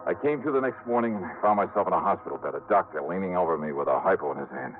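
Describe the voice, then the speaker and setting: Deep-voiced hardboiled detective narrator. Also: male voice, expressive, very fast. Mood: grim. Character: hardboiled male insurance investigator telling his case. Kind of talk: 1950s hardboiled radio narration. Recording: tinny old radio recording with music.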